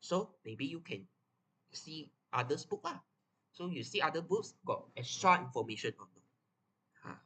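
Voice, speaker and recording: A man's voice: mid-range voice, pushed and bright, slightly rough. A young man with a Malaysian English accent, giving a casual, chatty lesson on study tips. He often repeats himself and ends his phrases with "lah". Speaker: tutor.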